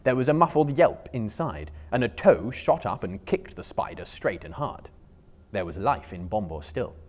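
A man's voice: on the low side, sing-song, moderate pace. A man in his thirties reads a story aloud with theatrical flair. His intonation swings widely, and his phrasing is careful and emphatic. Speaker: storyteller performing a dramatic reading. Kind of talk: dramatic storybook narration. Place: indoor bookstore room lined with shelves.

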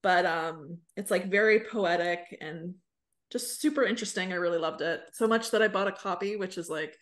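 A woman's voice: lowish voice, moderate pace. A woman in her thirties co-hosting a podcast in casual, informal chat. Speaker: podcast co-host. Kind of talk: casual chat about books. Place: small room on a video call.

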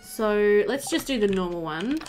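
A woman in her thirties giving casual, chatty let's-play commentary, animated and thinking aloud. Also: mid-range voice, unhurried, a little hiss or hum. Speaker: gaming video host. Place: indoor room.

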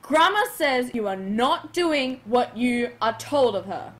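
A woman's voice: on the high side, lively intonation, takes it slowly, a bit bright. A woman voicing a bossy, exasperated young female in a cartoon parody dub, haughty and scolding.

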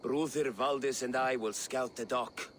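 An actor playing a gruff, wary Viking clansman in a video game, blunt in his speech. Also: medium pitch, steady tone, measured pace, slight background noise, lightly acted. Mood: warning. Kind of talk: video game cutscene acting.

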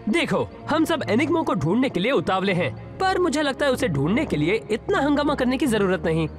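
A man's voice: extremely high pitch, sing-song, fairly quick, music in the background. A man voicing an earnest, eager teenage boy who leads a team of heroes in a cartoon dub. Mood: calm, reasoning. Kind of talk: Hindi cartoon dubbing.

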